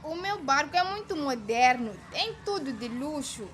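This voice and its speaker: High-pitched eager schoolgirl. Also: animated, normal speed, fairly bright tone, a little hiss or hum. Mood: proud, eager. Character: proud, eager schoolgirl pupil. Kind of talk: radio drama acting.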